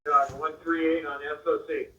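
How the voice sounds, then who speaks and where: A thin, phone-like radio transmission carries a man's fairly high voice. He sounds distant and echoey as he makes a procedural call-in from the field. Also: little pitch movement, moderate pace, a bit bright. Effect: two-way radio. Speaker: field worker calling in by radio.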